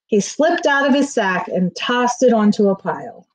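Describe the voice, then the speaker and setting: Middle-aged female storyteller, slightly high voice, expressive children's book read-aloud. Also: normal speed, a little hiss or hum, poor audio. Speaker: school staff reading aloud. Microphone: webcam on a video call, near.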